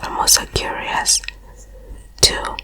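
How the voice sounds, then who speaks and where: A woman whispers her way through an ASMR session with no voice behind the breath. A cold leaves her stuffy and congested, yet the whisper stays crisp and bright. She takes it slow and unhurried. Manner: soft unvoiced whisper throughout. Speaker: ASMR host. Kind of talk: ASMR personal attention.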